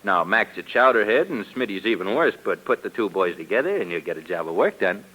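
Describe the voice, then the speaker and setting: A man's voice: on the low side, very animated, brisk, bright, forceful tone, husky, exaggerated voice, low-quality recording. A man playing a cocky small-time hoodlum in a 1950s radio crime drama, with an American accent and slangy, sneering tough talk. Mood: smug, threatening. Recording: vintage 1950s radio recording.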